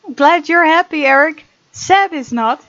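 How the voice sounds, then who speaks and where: A woman's voice: sits high, a little slow, a bit bright, highs cut off. A woman hosting a gaming video, reading visual novel text aloud with chatty, giggly commentary.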